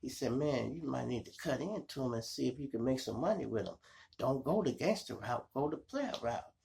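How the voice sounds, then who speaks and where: A man with a Chicago accent and a gravelly voice, hosting a show and telling street stories in slangy, animated style, acting out the dialogue. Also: mid-range voice, a little fast, a little hiss or hum. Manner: animated, slangy, addressing listeners as y'all. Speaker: online show host and storyteller. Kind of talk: casual street storytelling with acted-out dialogue.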